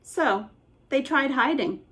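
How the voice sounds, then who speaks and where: A woman reading a children's picture book aloud as an expressive bedtime story. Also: high voice, slow pace.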